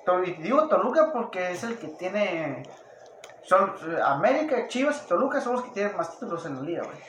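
Man, Mexican accent, fairly high pitch, slangy sweary sports banter, argumentative, echoey with some noise. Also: sing-song, brisk, fairly bright tone. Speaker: podcast co-host. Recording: echoey room, noticeable background noise.

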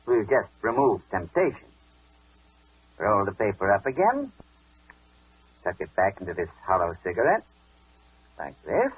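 An actor playing a tough, sly older police inspector in a 1940s radio detective drama, taunting and commanding in clipped speech. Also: male voice, on the low side, big pitch swings, measured pace, pauses often, slightly rough, exaggerated voice. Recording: dull old radio broadcast recording.

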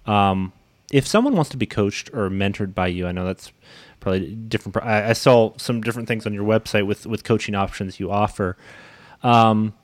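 A man's voice: on the low side, moderate pace, no echo. A man hosting a casual interview, hesitant and often restarting his phrases. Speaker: interview host.

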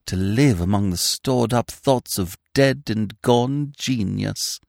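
A man voicing a sentimental, gushing woman who coos slowly and softly. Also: lowish voice, no echo, clean studio sound, pitched above the performer's own voice. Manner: cooing, slow and breathy-soft. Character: gushing, sentimental female poetess.

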